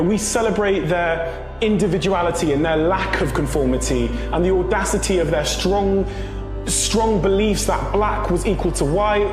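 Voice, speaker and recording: A young man speaks in a slightly high voice, earnest and rhetorical, with a steady and measured delivery. His voice rings with a long reverb, as if in a big room. Music plays underneath. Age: young adult. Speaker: motivational speaker. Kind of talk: scripted motivational speech.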